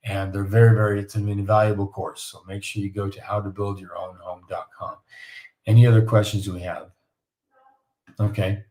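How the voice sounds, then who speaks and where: A man with a raspy voice from the flu, hosting a live question-and-answer stream and giving casual, folksy advice. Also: lowish voice, level tone, moderate pace, warm tone, rough recording. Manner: folksy, repetitive coaching tone. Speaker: host of live Q&A on home building.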